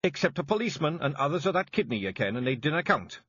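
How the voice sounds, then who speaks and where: Be a proud, brooding wee warrior chief, read by a man: bluster in the broad dialect, let the pitch swing, keep a husky edge. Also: very animated, fast, fairly bright tone, no echo, highs cut off, exaggerated voice. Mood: subdued.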